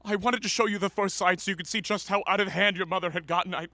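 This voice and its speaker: A man's voice: high-pitched, steady tone, quick pace, sharp tone, no echo. A man acting in a radio drama as an anguished, guilt-ridden middle-aged father who is defensive and stammers with emotion. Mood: defensive, pained.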